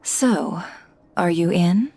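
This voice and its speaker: A woman playing a poised, shrewd guild leader in a video game, speaking in a composed, deliberate and formal way. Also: lowish voice, warm tone, husky, faint music behind, full character voice. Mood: businesslike, sly.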